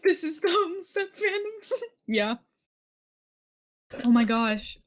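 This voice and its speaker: A woman's voice: very high voice, very animated, slow pace. A woman co-hosting a livestream in casual chat. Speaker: livestream co-host.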